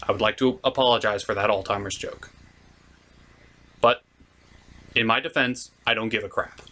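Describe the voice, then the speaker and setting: A man's voice: fairly low pitch, fairly even intonation, normal speed, pushed and bright. A man hosting a comedic cartoon review, giving commentary in a sarcastic, exasperated manner.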